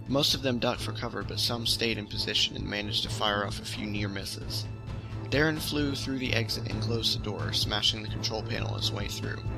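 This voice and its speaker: Low-voiced man with a creaky edge, music behind. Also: rough recording. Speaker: storytelling host. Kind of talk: reading own short science fiction story aloud. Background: music under the voice.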